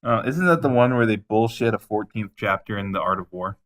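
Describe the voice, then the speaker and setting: A man's voice: on the low side, fairly quick, fairly bright tone. A man co-hosting a podcast in casual chat, laid-back and teasing, who laughs easily. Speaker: podcast co-host.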